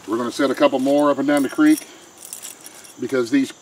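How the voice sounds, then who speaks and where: Middle-aged homesteader, folksy how-to talk, outdoor camera mic, faint insects. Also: male voice, medium pitch, steady tone, a little fast, slight background noise, poor audio. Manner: folksy, plain-spoken, explains step by step. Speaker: homesteader showing how to set traps. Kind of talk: casual how-to explanation. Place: outdoors by a pen and a wooded creek. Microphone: camera mic, handheld camera close by. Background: faint outdoor insects and crickets.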